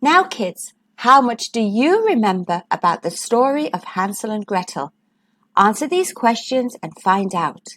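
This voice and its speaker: A woman reading a storybook aloud for young children in an expressive, deliberate way, as host and narrator. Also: medium pitch.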